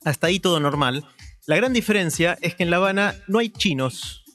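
Animated Argentine man telling a story. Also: high-pitched, brisk, faint music behind. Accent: Argentine Spanish. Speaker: radio columnist. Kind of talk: casual radio chat.

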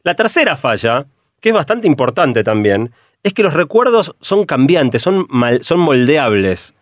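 A middle-aged man with an Argentine Spanish accent, a radio columnist explaining ideas through a steady run of examples. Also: a little high, unhurried, dry recording, studio quality.

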